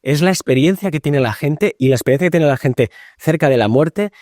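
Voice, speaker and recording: Male panel guest, slightly higher voice, dry studio sound, emphatic and rhetorical. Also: lively intonation, a little fast, warm tone, no echo. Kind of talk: round-table discussion.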